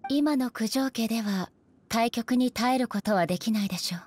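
An actress playing a stern, dignified female ruler in an anime game, speaking formally and with measured authority. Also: on the high side, a little mellow, slightly rough. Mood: stern, commanding. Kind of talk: Japanese anime game voice acting.

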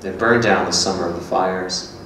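A man's voice: low-pitched, fairly even intonation, normal speed, a bit bright. A man performing a brooding, guarded, melancholy character in a staged literary reading. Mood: reminiscing. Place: small gallery room before an audience. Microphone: stand microphone, heard from a distant camera. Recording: echoey room, distant mic, faint noise.

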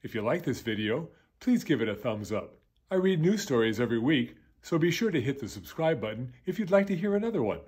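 An older man reading a children's story aloud as a storyteller, with expressive, deliberate phrasing for young listeners. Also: medium pitch, breathy edge. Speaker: storyteller, elementary school teacher.

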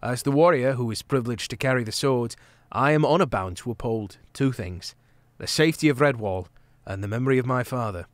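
A man voicing a calm, noble male mouse warrior with a low, steady, solemn delivery. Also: brisk, no echo, natural voice.

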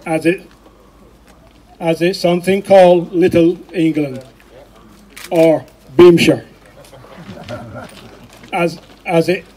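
An older man with a Barbadian accent gives a speech to a crowd outdoors. His voice is husky and mellow, fairly high for a man. He speaks into a handheld microphone amplified through a loudspeaker in a field. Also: rough recording. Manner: addressing the crowd, with pauses and restarts. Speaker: rally speaker.

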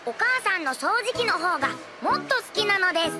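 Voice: extremely high pitch, big pitch swings, fairly quick. An actor playing an earnest, fond little boy who narrates a children's cartoon in a careful, childlike way. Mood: fond, proud. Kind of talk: children's cartoon narration, diary read aloud. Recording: background music over the voice.

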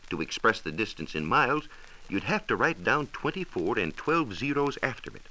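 An American man explaining science in a measured, precise, didactic way, in a scripted 1940s radio style. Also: on the low side, bright, forceful tone, low-quality recording. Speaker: science reporter explaining astronomy. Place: radio studio. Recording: hissy 1940s radio transcription disc.

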